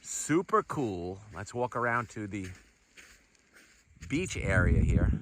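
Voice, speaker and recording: A man's voice: fairly low pitch, big pitch swings, slow pace, heavy background noise. A man narrating a travel vlog outdoors by a lake, upbeat and enthusiastic as he walks and points things out. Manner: upbeat, enthusiastic touring commentary. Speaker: travel vlogger. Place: outdoors at a desert lake shore.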